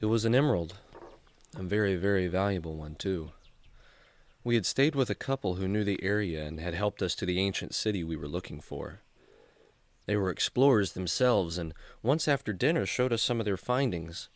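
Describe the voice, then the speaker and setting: A man's voice: low-pitched, expressive, normal speed, no room sound. A man playing a friendly, earnest young adventurer in a children's radio drama, speaking like a clean-cut storyteller. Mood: somber storytelling.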